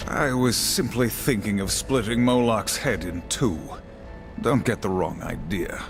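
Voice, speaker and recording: Casting: solemn game warrior, grim and formal, gravelly, deliberate delivery. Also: male voice, fairly low pitch. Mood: dry, grim. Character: grim, stern male horseman warrior, solemn and vengeful. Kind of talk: theatrical video game acting. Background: music.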